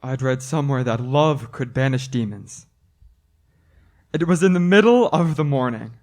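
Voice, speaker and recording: A man's voice: medium pitch, animated, normal speed, darkish tone, lightly acted. A man playing a haunted, frightened young traveller in a suspense radio play, dramatic and prone to stammering. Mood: haunted, brooding. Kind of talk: old-time suspense radio play acting.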